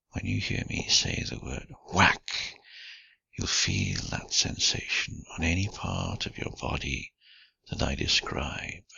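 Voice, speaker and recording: Deep, very gravelly man, hushed and intimate. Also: no room sound, dull audio. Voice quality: husky, gravelly. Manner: breathy, often whispered, slow, deliberate delivery. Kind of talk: intimate erotic guided hypnosis audio.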